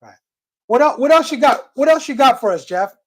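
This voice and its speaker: A man's voice: extremely high pitch, expressive, brisk. Man co-hosting a casual call-in stream, emphatic and friendly, repeats phrases. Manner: restarts often, emphatic and friendly. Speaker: livestream co-host. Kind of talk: casual call-in livestream chat.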